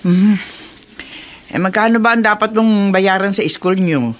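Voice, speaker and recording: A woman's voice: medium pitch, unhurried, natural voice. An actress playing a kindly, concerned elderly Filipino grandmother in a radio drama. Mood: concerned, inquiring. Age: older.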